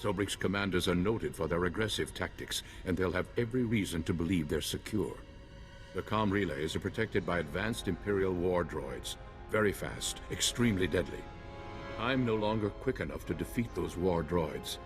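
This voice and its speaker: A seasoned older space-knight strategist in a video game. He speaks formally and with measure, in a low voice with light music behind him. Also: normal speed. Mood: measured. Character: calm, seasoned older male Jedi strategist. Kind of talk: video game cutscene acting.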